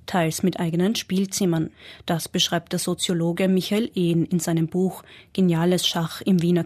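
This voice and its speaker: A woman reporter giving measured, scripted documentary narration. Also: lowish voice, darkish tone, breathy edge. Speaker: radio reporter.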